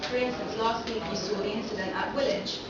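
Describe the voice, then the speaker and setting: A woman's voice: mid-range voice, fairly even intonation, brisk. Young woman presenting in an echoey hall. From London. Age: young adult. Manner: poses many questions to the audience. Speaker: seminar presenter. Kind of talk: academic presentation. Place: lecture hall. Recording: echoey hall from far off, dull and noisy.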